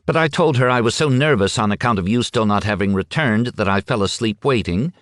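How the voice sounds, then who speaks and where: A man reading an audiobook and voicing an anxious, nervous middle-aged village wife who talks in hesitant, hurried bursts. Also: fairly low pitch, fairly bright tone, no echo, clean studio sound, voice pushed up, natural voice. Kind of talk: audiobook character voice.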